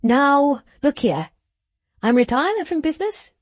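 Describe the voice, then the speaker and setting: A woman's voice: high-pitched, big pitch swings, a little slow, no room sound, pitched above the performer's own voice. A woman voicing a jovial, boastful older man who speaks in broad, ungrammatical English, eager and enthusiastic. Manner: broad, rough-grammared, enthusiastic.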